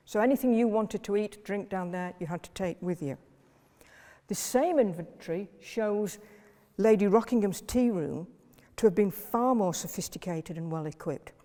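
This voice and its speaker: Mellow-voiced woman lecturing in a hall. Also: medium pitch. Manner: measured, list-like delivery with occasional fillers. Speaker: historian giving a lecture. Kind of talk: public history lecture.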